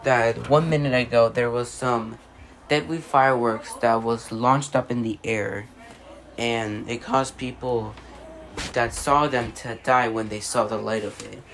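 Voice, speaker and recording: An amateur voice actor reading a scripted mock emergency broadcast as an announcer, halting and stumbling with repeated phrases. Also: mid-range voice, fairly even intonation, fairly bright tone, slight background noise.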